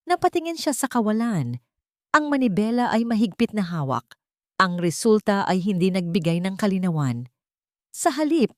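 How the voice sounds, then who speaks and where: A woman's voice: medium pitch, darkish tone, dry recording, studio quality. A woman with a Filipino accent reading a dramatic romance story as scripted narration.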